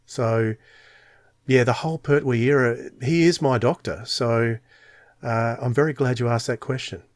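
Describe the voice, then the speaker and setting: A man's voice: medium pitch, normal speed, no room sound. Australian man, close dry sound. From Tasmania, Australia. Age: 40s. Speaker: podcast co-host. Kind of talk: casual podcast chat.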